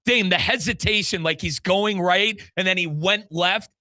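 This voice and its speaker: A man's voice: high-pitched, moderate pace, bright, forceful tone, no echo, clean studio sound. An American man hosting a sports show, recapping matches in an emphatic, colloquial way and speaking straight to listeners and his co-host. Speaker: sports show host and radio play-by-play commentator.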